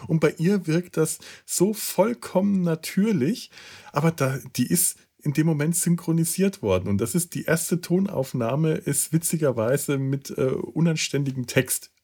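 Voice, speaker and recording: Slightly husky man explaining trivia. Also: a little high, a little slow, warm tone. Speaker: podcast co-host. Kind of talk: casual chat, explaining trivia.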